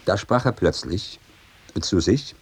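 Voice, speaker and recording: A man's voice: low voice, lively intonation, takes it slowly, slightly rough, heavily acted. A man playing an agitated, complaining servant in a theatrical radio comedy, declaiming with exasperation and pleading. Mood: exasperated, confiding. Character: agitated, complaining male servant of a mad master.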